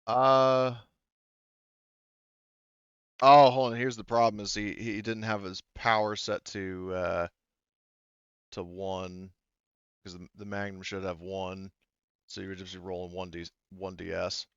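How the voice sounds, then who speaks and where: A man with a deep-set, resonant voice runs a tabletop roleplaying session. He talks through rules in detail, thinking aloud as he goes. The sound is close and a little muffled. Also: flat delivery, unhurried, pauses often, smooth, dry recording. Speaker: game master. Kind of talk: casual tabletop game refereeing. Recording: dull, slightly muffled close recording.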